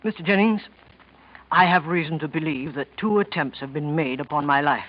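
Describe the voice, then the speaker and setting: A man's voice: on the high side, moderate pace, lightly acted. An American actor playing a fussy, scheming, hesitant office man in 1940s radio drama style, stammering politely. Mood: confiding, anxious. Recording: dull vintage radio recording.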